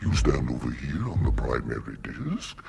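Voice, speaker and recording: An actor playing a mild, scholarly alien mole in a radio play, speaking haltingly with hums and pauses. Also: male voice, rumbling low, big pitch swings, vocal fry, mellow, a little hiss or hum, full character voice. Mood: calm, explanatory.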